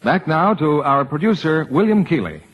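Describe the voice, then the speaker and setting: Husky, animated radio announcer, man. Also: a little high, normal speed, muffled recording. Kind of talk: 1940s radio scripted advertising. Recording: old radio broadcast recording.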